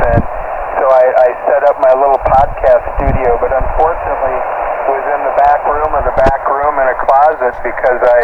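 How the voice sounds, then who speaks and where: An older American man chatting casually as a ham radio operator over a shortwave transmission. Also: mid-range voice. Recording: tinny, very noisy shortwave radio reception. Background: loud radio static and noise.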